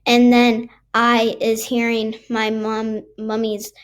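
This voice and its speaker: A woman reading a children's book aloud haltingly, stumbling over and repeating words. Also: fairly high pitch, completely flat delivery. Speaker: storybook reader.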